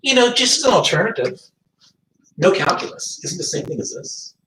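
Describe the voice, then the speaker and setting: A math teacher whose first language is French, lecturing a class and thinking aloud, often stopping to rephrase. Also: male voice, extremely high pitch, very animated, normal speed, bright, forceful tone, slight background noise. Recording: echoey room, distant mic.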